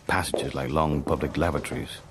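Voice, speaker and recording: Casting: insolent British spy narrator, extremely low voice, sardonic, radio drama over a noisy background. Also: male voice, fairly quick. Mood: dry, sardonic. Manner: deadpan, sardonic. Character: wry, insolent working-class British intelligence agent, narrating.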